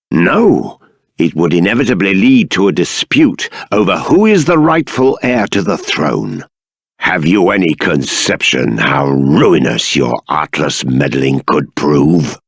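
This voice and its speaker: A man's voice: fairly low pitch, creaky, husky, dry recording, studio quality, heavily acted. An actor playing a stern, dignified middle-aged king in a video game, with formal, regal, emphatic diction. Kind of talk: dramatic game character dialogue.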